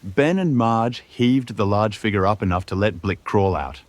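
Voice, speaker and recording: A male storyteller with a fairly deep voice reads dramatic fantasy prose. His intonation rises and falls expressively for suspense, and the sound is very dry and close. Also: animated, normal speed, dry recording. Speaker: narrator. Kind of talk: scripted dramatic fantasy narration.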